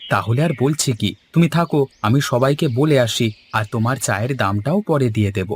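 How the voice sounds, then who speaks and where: A man's voice: mid-range voice, moderate pace, breathy edge, close to an everyday voice. A man playing a sociable, persuasive village man in a cartoon, explaining and coaxing good-humouredly. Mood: upbeat, persuasive. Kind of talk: cartoon dialogue acting.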